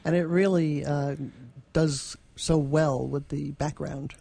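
A man's voice: a little high, steady tone, takes it slowly, vocal fry, dark tone, no echo. A man co-hosting a radio show, giving short, casual responses in conversation.

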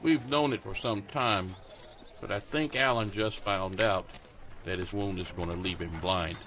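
A man's voice: fairly low pitch, steady tone, a little slow, a bit bright, a little hiss or hum. A man voicing a blind caretaker who talks in a folksy, self-deprecating way. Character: blind male caretaker, humble and joking. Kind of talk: audio drama acting. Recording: muffled lo-fi recording.

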